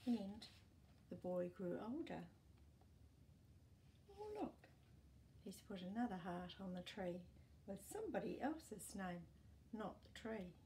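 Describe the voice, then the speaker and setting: Expressive, unhurried woman reading to children. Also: mid-range voice, slight background noise. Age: middle-aged. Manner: expressive, asks the young listener questions, gentle gasps. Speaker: storytime host. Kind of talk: reading a picture book aloud to children, with chatty asides. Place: small indoor room with books and toys.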